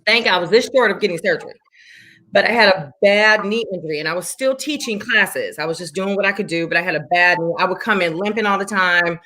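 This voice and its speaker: A woman's voice: mid-range voice, fast talker, bright, forceful tone, poor audio. A woman in her forties, a fitness instructor, chatting enthusiastically and repeating herself for emphasis. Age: 40s. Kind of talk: casual chat.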